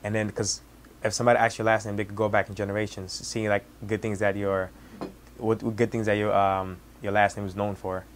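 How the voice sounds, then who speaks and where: Young Senegalese man, twenties, native Wolof speaker; low, even delivery, halting with restarts; faint background noise. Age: 20s. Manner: halting, many restarts and fillers. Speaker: cook. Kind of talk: casual personal storytelling.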